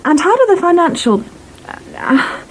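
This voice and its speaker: An actress playing a brisk, practical and mildly sceptical farmer's wife in a British radio soap. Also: female voice, very high-pitched, big pitch swings, heavily acted. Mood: practical, doubtful. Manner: brisk, no-nonsense, politely questioning. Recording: noisy old radio broadcast archive.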